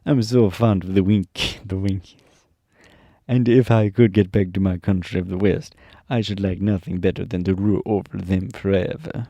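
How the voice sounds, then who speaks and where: A gentle, thoughtful tin man with a low, mellow voice, read by a man. The storybook reading sounds close and dry, with no room around it. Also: steady tone, moderate pace, no echo. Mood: fond. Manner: mild, close to his own voice.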